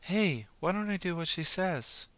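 A man voicing an eerie possessing entity that speaks through other people, slow, halting and detached. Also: high voice, lively intonation, fairly bright tone, no room sound, voice pushed up. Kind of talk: audiobook character voice.

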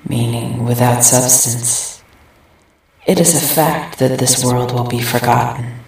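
A performer voicing a cold, cruel female entity of nothingness, speaking in slow, detached pronouncements. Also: mid-range voice, darkish tone. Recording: heavy echo, distant from mic.

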